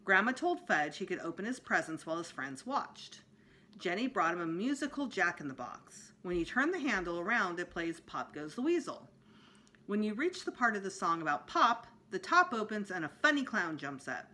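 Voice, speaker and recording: Middle-aged female storytime reader, bright tone, lively swings in pitch. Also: normal speed, a little hiss or hum. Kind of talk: expressive read-aloud of a children's book. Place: small indoor room at home.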